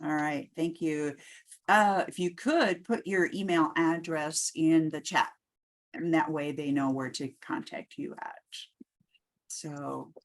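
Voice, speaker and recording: Slow talking woman, lowish voice, running a conference call, kinda halting. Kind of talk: informal meeting moderation.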